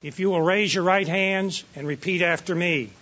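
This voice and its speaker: A man's voice: sits high, normal speed, fairly bright tone. A man speaking as a court official in a formal ceremonial proclamation. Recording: dull audio with clear background noise.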